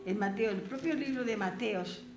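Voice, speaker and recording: A woman's voice: medium pitch, normal speed, roomy sound. A woman whose first language is Portuguese, teaching a Bible seminar lesson, reading verses aloud and asking rhetorical questions.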